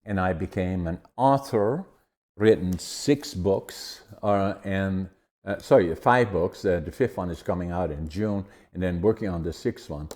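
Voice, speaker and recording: An older man from the Netherlands with a Dutch accent, telling his life story in an interview with animated restarts. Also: low voice, measured pace. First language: Dutch dialect. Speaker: guest. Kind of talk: conversational interview.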